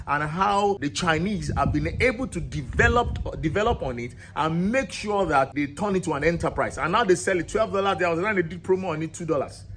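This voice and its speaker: A man's voice: a little high, fairly bright tone, a little hiss or hum. A man, founder of a cultural channel, giving an impassioned monologue to camera in an emphatic, rousing way. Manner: emphatic and rousing, builds repetitive lists.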